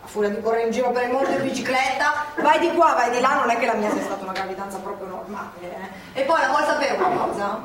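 In a very echoey theatre with audience sounds, a feisty Milanese partisan woman , played by an actress, talks quickly in a high voice. She is chatty, fond and insistent, tagging her colloquial remarks with little questions. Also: crowd noise, natural voice. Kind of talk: theatrical stage dialogue. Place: theatre stage. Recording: very echoey theatre, distant mic, audience noise.

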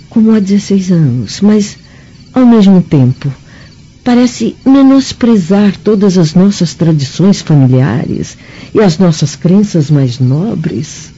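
A muffled radio drama recording carries a mature Roman noblewoman, stern and scornful. Her Brazilian-accented voice is husky and mellow. She speaks formally and with measured, haughty disapproval. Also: sits low, exaggerated voice.

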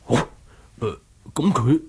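An actor playing a nervous, deferential martial-arts master with a hesitant manner in an audio drama. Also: male voice, medium pitch, animated, takes it slowly, breathy edge, full character voice. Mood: hesitant, compliant. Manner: hesitant, flustered. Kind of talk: Cantonese audio drama acting.

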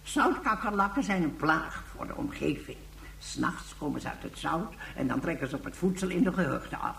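Creaky, lively-pitched elderly explainer. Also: female voice, fairly quick, slight background noise, full character voice. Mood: explanatory. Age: older. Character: courteous, knowledgeable elderly person, leisurely storyteller. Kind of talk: radio play acting. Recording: echoey room sound.

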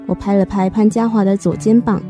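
A woman playing a reflective first-person storyteller in a radio drama, narrating in a measured, literary way. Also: medium pitch, fairly even intonation, warm tone, soft background music, close to an everyday voice. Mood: wistful, reflective.

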